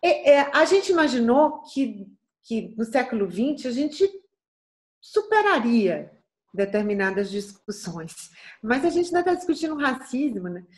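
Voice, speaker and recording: Woman, middle-aged, Bahian accent, soft voice, slightly high pitch, reflective, earnest, interview guest. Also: sing-song, unhurried. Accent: Bahian Portuguese. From Bahia, Brazil. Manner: thoughtful, earnest, frequent restarts and tag questions.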